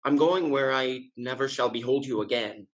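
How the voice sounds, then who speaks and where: A smooth-talking gentleman rake from a Restoration comedy, acted by a man. He has a mid-pitched voice and pleads in measured, florid phrases. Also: natural voice. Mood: contrite, pleading. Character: suave, flattering gentleman rake playing the penitent lover. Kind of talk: scripted stage comedy acting.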